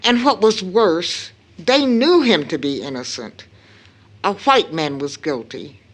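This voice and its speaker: Middle-aged mother for audio drama: grave, anguished, very unhurried, with wide emotional swings in pitch. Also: animated. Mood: solemn, intense recounting. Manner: solemn, deliberate, emphatic. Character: grave, anguished mother baring a long-kept family secret. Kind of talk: stage audio drama acting.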